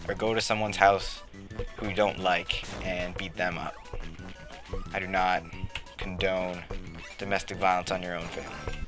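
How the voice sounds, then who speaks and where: A young man giving rambling, joking commentary while playing a game, his voice a little raspy. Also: deep voice. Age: late teens to young adult. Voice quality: slightly raspy. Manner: joking, rambling, self-mocking. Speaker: let's play commentator. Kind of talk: casual gameplay commentary. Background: game music.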